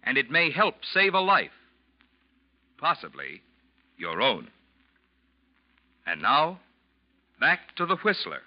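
A man's voice: fairly high pitch, wildly swooping pitch, slow, long pauses, slight background noise. An American man delivering a scripted sponsor message as a 1940s radio announcer. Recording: dull, dry vintage radio recording.

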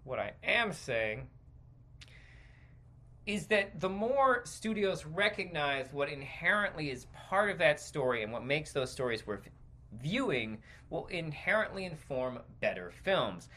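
Animated, bright-voiced man ranting. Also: fairly high pitch, a little slow. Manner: rambling, digressive, emphatic. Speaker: podcast host. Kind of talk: opinionated stream-of-consciousness commentary.

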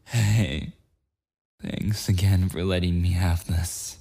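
A man's voice: deep voice, vocal fry, slightly rough, exaggerated voice. A man playing a clingy, possessive yandere boyfriend in an intimate ASMR roleplay, tender and rambling, slipping toward whispers. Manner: halting, rambling, soft breaks into whispering.

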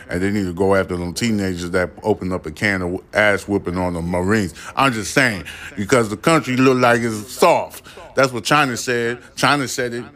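A man hosting his own podcast, giving a blunt, profane, ranting opinion monologue. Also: low-pitched. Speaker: podcast host.

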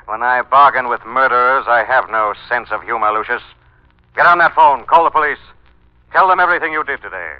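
An actor playing a relentless, mocking invisible avenger of crime in 1940s radio drama style, menacing and deliberate. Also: male voice, mid-range voice, close to an everyday voice. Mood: stern, commanding. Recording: old muffled radio broadcast with music.

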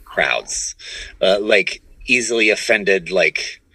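A man talking casually as a comedian guest on a podcast, animated and often restarting his phrases. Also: lowish voice, slow, breathy edge, no room sound, poor audio. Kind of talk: casual conversational interview answers.

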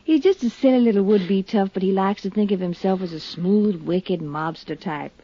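A woman's voice: medium pitch, moderate pace, mellow, close to an everyday voice. Woman acting an earnest young radio heroine, dull sound. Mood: frank, confiding. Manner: earnest, confiding, firm. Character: poised, earnest, grieving young woman, self-assured. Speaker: radio drama actress. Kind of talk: 1950s radio drama acting. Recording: dull, dry 1950s radio recording.

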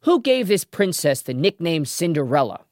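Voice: mid-range voice, very animated, moderate pace, a little mellow, no echo, studio quality. A trivia show host presenting a scripted quiz in a playful way, with wry asides. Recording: music behind the voice.

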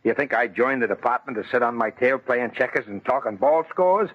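A man's voice: mid-range voice, expressive, fairly quick, close to an everyday voice. An actor playing a restless, impatient rookie fireman, slangy and complaining, in old radio drama style. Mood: restless grumbling. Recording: muffled, slightly noisy vintage radio.

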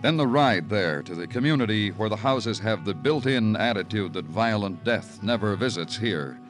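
An actor playing a terse, weary American police detective narrating his case in a 1950s radio crime drama, clipped and deadpan. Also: on the low side, normal speed, natural voice. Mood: brooding, matter-of-fact. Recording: old radio recording with music.

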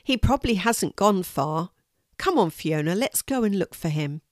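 A woman voicing a calm, sensible girl in a storybook, with a practical manner. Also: fairly low pitch, moderate pace, no room sound, studio quality, close to an everyday voice.

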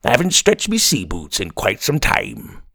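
A man voicing a gruff, bossy pirate storybook that talks in pirate slang and growls threats, read close and dry. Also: medium pitch, huge pitch swings, moderate pace, a bit bright, dry recording, exaggerated voice.

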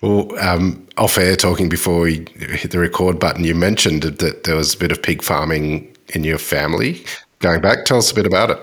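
Deep-voiced male podcast host. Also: normal speed. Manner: relaxed, prompting questions with hesitations. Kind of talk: interview questions.

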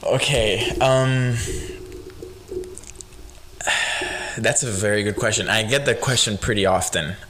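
A young man hosting a podcast, a native Spanish speaker with a light Spanish accent, chatting in a relaxed, rambling way with lots of fillers. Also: fairly low pitch, slow pace, long pauses. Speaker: podcast host. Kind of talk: casual chat.

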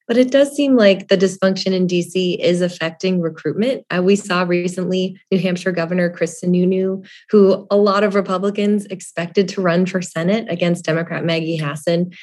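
A woman political reporter giving conversational news analysis, her voice a little raspy from a cold. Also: lowish voice, steady tone, normal speed, few pauses, darkish tone. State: has a cold.

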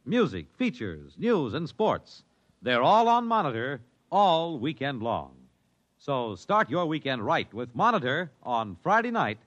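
A man's voice: fairly high pitch, fairly bright tone, lo-fi sound. An American man announcing in dramatic 1950s radio style, emphatic and declamatory.

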